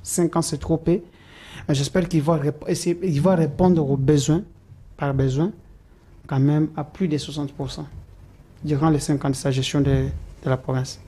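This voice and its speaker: A man's voice: fairly high pitch, fairly even intonation, normal speed, warm tone, slightly rough. A man answering interview questions, hesitant and full of "euh" fillers and restarts. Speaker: political supporter interviewed as guest.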